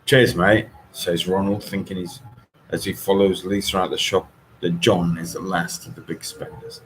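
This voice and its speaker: A man reading a story aloud in an expressive audiobook style. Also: sits low, normal speed, a little hiss or hum, poor audio. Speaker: author reading own novel.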